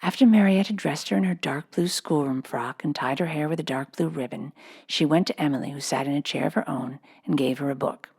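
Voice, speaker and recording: A woman's voice: deep voice, a little fast, vocal fry, darkish tone, husky. A woman reading a children's storybook aloud as scripted narration. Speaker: audiobook reader.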